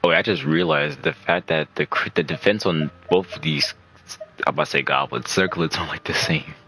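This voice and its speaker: A man's voice: a bass voice, very animated, moderate pace, vocal fry, bright, forceful tone, slight background noise. A man casually commentating on his gameplay as a streamer, rambling and muttering to himself. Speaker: game streamer. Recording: game music behind the voice. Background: video game music.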